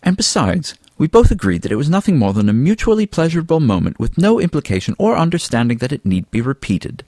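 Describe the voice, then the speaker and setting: A man's voice: a little high, big pitch swings, quick pace, hardly any pauses, darkish tone, no room sound, clean studio sound, pitched above the performer's own voice. A man voicing a vain, flirtatious, theatrical male weasel in an audiobook, haughty and florid in manner. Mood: lofty, lecturing. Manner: haughty, florid, wordy, drawling endearments. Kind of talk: audiobook character dialogue.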